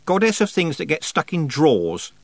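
Storybook goddess: skinny tired woman, wry and casual, high lilting delivery, performed by a male reader. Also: huge pitch swings, normal speed, dry recording, heavily acted. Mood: offhand, wry. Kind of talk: audiobook character voice.

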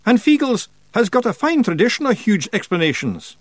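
A man's voice: high voice, sing-song, moderate pace, dry recording, pitched above the performer's own voice. A man voicing a bossy, boastful, hot-tempered little fairy clan leader in a storybook, explaining emphatically with a Scottish accent. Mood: proud.

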